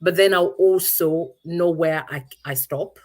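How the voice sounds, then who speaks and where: Low-voiced thoughtful woman from Uganda. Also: unhurried, a little mellow. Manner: thoughtful, searching for words with many restarts. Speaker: guest. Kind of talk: reflective interview answers.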